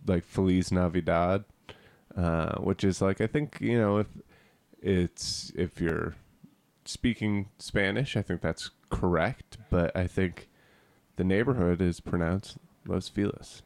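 A man's voice: deep voice, takes it slowly, gravelly, darkish tone, no echo. A young man from Cape Cod co-hosting a podcast in casual chat, rambling with many fillers and restarts. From Cape Cod, Massachusetts. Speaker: podcast co-host.

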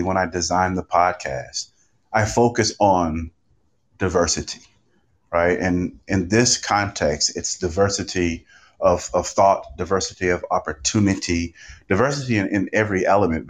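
Low-voiced man speaking with deliberate emphasis. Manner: emphatic, deliberate phrasing with repeated words for stress. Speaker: podcast host on a panel. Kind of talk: conversational panel talk.

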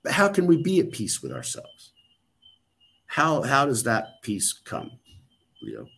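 A man's voice: mid-range voice, wildly swooping pitch, takes it slowly, creaky, pushed and bright, slightly rough. A middle-aged man talking on a podcast in a casual, blunt way, swearing freely and restarting his sentences. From United States. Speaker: podcast host. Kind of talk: casual chat.

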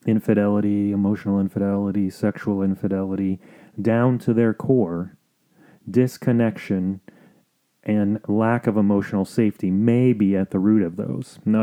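A man with an American accent hosting an explanatory podcast as a counselor, talking in a measured, earnest way with pauses. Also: lowish voice, dark tone. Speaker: podcast host and family counselor.

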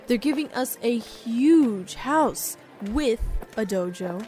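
Woman doing a cheery teasing sidekick lady, bit high, takes her time, soft music under. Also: animated, takes it slowly. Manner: explanatory, teasing, upbeat. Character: chatty, cheerful female companion of the swordsman.

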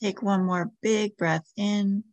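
A woman leading a guided meditation as a podcast host, giving soothing, gentle instructions with long pauses. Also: mid-range voice, fairly even intonation, dry recording.

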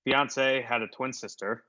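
A man's voice: mid-range voice, fairly even intonation, a little slow, lo-fi sound, over a noisy crowd, natural voice. A man playing a mild-mannered, shy celebrity giving a genial public address in a radio play. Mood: genial, bashful.

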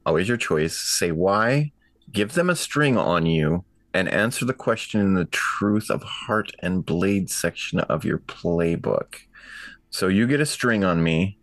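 Man, game player, unhurried pace, deliberate casual narration, very dry sound. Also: low-pitched, fairly bright tone, dry recording. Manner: deliberate, halting.

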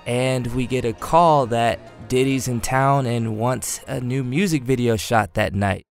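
A man's voice: mid-range voice, unhurried, creaky, music in the background. A cinematographer telling a story about his own mishap on set, casually and with self-deprecating humor. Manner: animated, self-deprecating, acts out quotes. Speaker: cinematographer guest.

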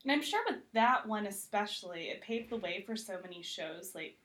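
A woman's voice: medium pitch, lively intonation, moderate pace, fairly bright tone. A woman TV critic chatting casually as a podcast guest in an informal, wry way. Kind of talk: casual chat.

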